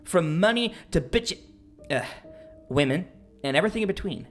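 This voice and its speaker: Audio drama: young male online creep, self-pitying, boastful, theatrical, very animated delivery. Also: high voice, moderate pace, faint music behind. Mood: bitter ranting. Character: bitter, boastful young male internet misogynist, pleading then ranting.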